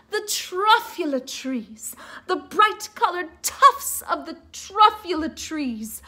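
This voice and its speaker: A woman's voice: very high-pitched, bright, forceful tone. A woman reading a children's story aloud like a teacher, theatrical and playful, coaxing her listeners to join in.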